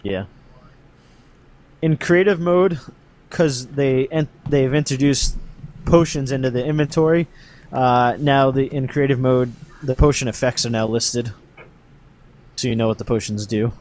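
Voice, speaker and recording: A man hosting a podcast, casually explaining game update news in a halting, hedging way. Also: medium pitch, fairly even intonation, a little mellow, a little hiss or hum.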